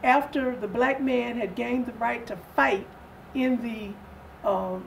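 An older woman speaking as an interview guest in a measured, deliberate way with pauses. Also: a little high, creaky. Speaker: guest from a readers theatre group. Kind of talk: interview conversation. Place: indoor sitting room set. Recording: distant mic with faint room noise.

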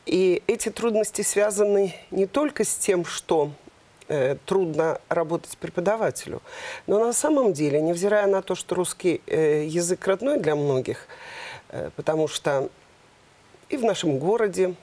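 A woman in her fifties, a university department head, explaining in a measured way with frequent hesitations in a TV studio interview on a lapel mic. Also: fairly low pitch, animated, warm tone.

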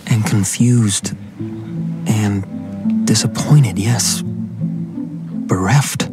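An actor playing a brooding young gentleman's inner thoughts in a radio drama, dramatic and deliberate. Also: lowish voice, over loud music. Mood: forlorn.

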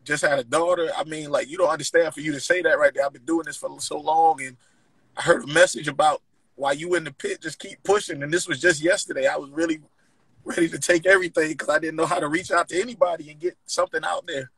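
A man's voice: on the high side, steady tone, fast, fairly bright tone, slightly rough, dry recording, poor audio. A young man, a guest, giving heartfelt personal testimony in casual speech. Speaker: guest rapper.

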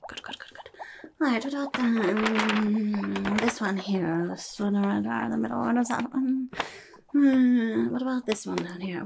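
A young woman voicing a rude, impatient goblin nurse in an ASMR roleplay, muttering in soft, breathy whispers close to the mic and repeating herself grudgingly. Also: medium pitch, background noise. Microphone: close microphone.

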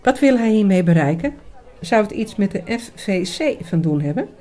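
A woman's voice: medium pitch, expressive, moderate pace, mellow, lightly acted. A woman playing a priest's curious, familiar companion in audio drama dialogue, speaking casually.

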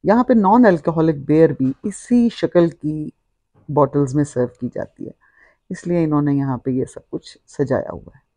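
A woman's voice: low-pitched, moderate pace, mellow, no room sound. A middle-aged woman, narrating a walk-through vlog in a descriptive, explanatory way. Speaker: vlogger.

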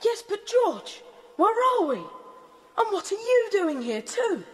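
A woman's voice: very high voice, big pitch swings, takes it slowly, heavily acted. A woman playing an earnest, indignant schoolboy adventurer with an English accent and proper, exclamatory speech in a children's audio drama. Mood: amazed. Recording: faintly noisy cassette transfer.

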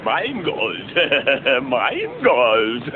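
An actor playing an obsessive, vengeful cartoon sea captain in a German audio drama. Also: male voice, mid-range voice, huge pitch swings, very slow, full character voice. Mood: gloating, greedy. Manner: gloating, cackling, obsessive. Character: crazed, gold-obsessed vengeful villainous ship's captain. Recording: muffled, noisy old cassette recording.

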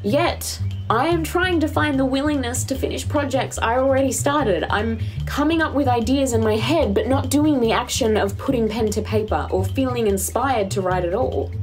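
A woman's voice: on the high side, darkish tone, lightly acted. Anxious young woman narrating over music, played by an actress. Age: young adult. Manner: introspective, confessional narration. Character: anxious, restless young woman writer quarantining alone. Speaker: lead actor narrating in character. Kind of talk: first-person audio drama monologue. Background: musical score.

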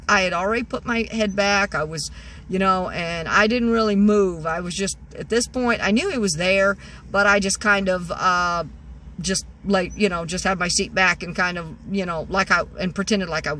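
A woman's voice: medium pitch, moderate pace, fairly bright tone, slight background noise. A woman in her sixties telling a rambling story from her parked car, with lots of restarts and fillers, filmed on a phone at arm's length. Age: 60s. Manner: rambling, many restarts and "you know"s. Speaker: vlogger. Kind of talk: casual storytelling. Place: parked car, indoors of vehicle.

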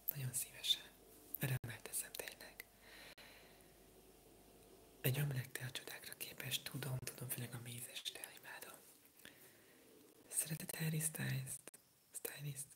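An eighteen-year-old from Hungary whispering softly through an ASMR livestream, answering viewer comments while sick with a sore throat, rambling and hesitant. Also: mid-range voice, creaky. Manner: soft unvoiced whisper, rambling, hesitant with fillers.

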